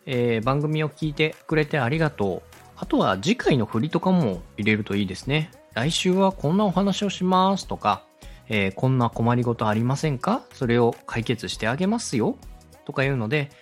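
Man teaching with lively, swooping intonation. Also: mid-range voice, moderate pace, soft background music. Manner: polite, instructive, step-by-step. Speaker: podcast host and radio director teaching podcast making. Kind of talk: explanatory teaching talk.

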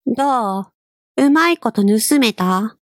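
A woman's voice: fairly high pitch, lively intonation, takes it slowly, dry recording, studio quality. A woman voicing a cheeky, bossy young witch in an anime-style audio drama, cocky and blunt. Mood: cocky, teasing. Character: cheeky, bossy young witch girl, brash but kind.

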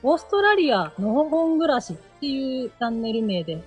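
Female guest, medium pitch, casual talk, faint music underneath. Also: big pitch swings, fairly quick, slightly rough. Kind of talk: casual chat.

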